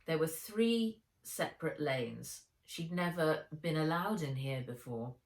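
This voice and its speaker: A woman author reading her children's adventure book aloud in an expressive storytelling style. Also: low-pitched, unhurried, distant mic. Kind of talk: expressive children's audiobook narration. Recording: roomy, echoey room sound.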